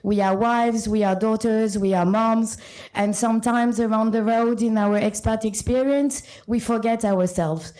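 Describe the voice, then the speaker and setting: A woman with a French accent giving a short conference talk to a live audience, often pausing with ums and restarting sentences. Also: fairly high pitch, fairly even intonation, warm tone, low-quality recording. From born in Sri Lanka, French and Italian parents. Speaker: conference speaker.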